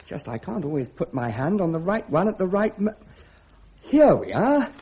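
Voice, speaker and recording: British radio drama: eager, muddle-headed young officer, posh slang, chatty, mellow but very husky voice, lo-fi sound. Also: male voice, high voice, sing-song, moderate pace, exaggerated voice. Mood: flustered, cheerful. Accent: upper-class British. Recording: dull, lo-fi radio drama recording.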